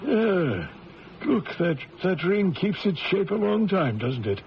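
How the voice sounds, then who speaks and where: An actor playing a genial, inquisitive company boss in an old-time radio drama, chatty and genteel. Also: male voice, high voice, very animated, takes it slowly, full character voice. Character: genial, inquisitive gentleman diamond-firm boss in South Africa. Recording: dull lo-fi radio recording, faint hiss.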